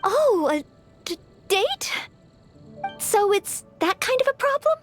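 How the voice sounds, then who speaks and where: An actress playing a polite, eager young maid who dreams of knighthood in a video game, speaking with apologetic hesitance. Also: female voice, extremely high pitch, sing-song, takes it slowly, soft background music, full character voice. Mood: helpful, flustered.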